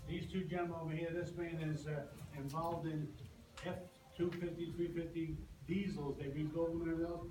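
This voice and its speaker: A man's voice: on the high side, level tone. An older man from Brooklyn, rambling and joking as he hosts a talk for customers. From Brooklyn, New York. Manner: rambling, joking, halting with restarts. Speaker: business owner hosting a presentation. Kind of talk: casual talk to a live audience. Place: large echoey room with an audience. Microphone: far from the recording mic. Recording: echoey room, distant mic, noisy.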